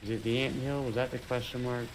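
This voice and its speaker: A man's voice: medium pitch, quick pace, noisy background. A man casually chatting and joking with a friend while they play a co-op game together. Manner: relaxed, joking, laid-back. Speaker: gaming channel co-host. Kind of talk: casual co-op gameplay chat.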